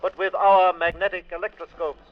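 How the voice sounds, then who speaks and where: An alien commander from a distant world in an old radio play. His voice is fairly high, formal and clipped, with measured authority. It comes through a radio filter on a narrow, band-limited old recording. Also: level tone. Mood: measured, authoritative. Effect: radio transmission filter. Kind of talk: 1940s-50s radio drama acting.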